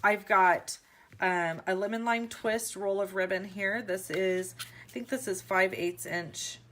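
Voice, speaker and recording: Chatty woman, a bit raspy. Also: mid-range voice, a little slow, fairly bright tone, a little hiss or hum. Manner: chatty, many ums, gushing. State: slightly raspy from allergies. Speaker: stamping demonstrator. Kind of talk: casual craft video chat. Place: indoor craft table. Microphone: overhead camera on hands, speaker off-screen.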